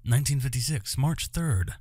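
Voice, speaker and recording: A man's voice: mid-range voice, expressive, normal speed, mellow, dry recording. A man hosting a gaming video, reading visual novel text aloud with asides and stumbling over some words and names. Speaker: gaming host. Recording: music under the voice. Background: game music with guitar.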